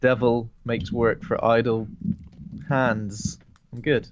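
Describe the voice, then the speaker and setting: A man's voice: mid-range voice, level tone, takes it slowly, dull audio, slight background noise. A man with a cold co-hosting a horror film podcast, joking and laughing as he chats, often repeating words. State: sniffly with a cold. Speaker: podcast co-host. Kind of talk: casual film podcast chat.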